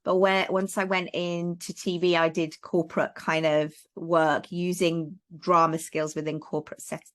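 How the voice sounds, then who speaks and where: Middle-aged Welsh-raised woman guest, lower voice, rambling and friendly on a call. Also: flat delivery, moderate pace. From Wales. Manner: chatty, many fillers and restarts. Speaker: guest, parenting coach. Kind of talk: casual interview chat.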